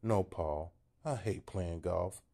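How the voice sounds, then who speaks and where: A man voicing a depressed golfer in his fifties, storybook character, weary, low sulky voice. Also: slow, vocal fry, warm tone, full character voice. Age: 50s.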